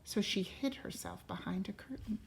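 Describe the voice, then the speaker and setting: A woman reading a storybook aloud to a class as a teacher, in a deliberate, expressive way. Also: medium pitch, gravelly, in a whisper. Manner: deliberate and expressive, pausing to question listeners.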